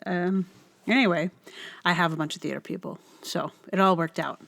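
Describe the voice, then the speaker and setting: Lady podcast host, slightly low voice, chatty and rambly, kinda figuring it out as she talks. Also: steady tone, moderate pace, creaky, a bit bright. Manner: rambling, thinking aloud in unfinished phrases. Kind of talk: casual solo podcast monologue.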